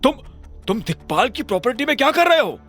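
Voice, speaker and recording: A man's voice: extremely high pitch, big pitch swings, fast, fairly bright tone, faint music behind, voice pushed up, heavily acted. A man voicing an urgent male boss who presses and commands.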